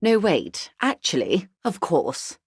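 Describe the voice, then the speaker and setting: A woman playing a shrewd, wealthy older negotiator in a video game, speaking in a polished, wry, measured way. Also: fairly low pitch, sing-song, slightly rough, dry recording, clean studio sound, exaggerated voice. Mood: knowing, insinuating. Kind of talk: video game character dialogue.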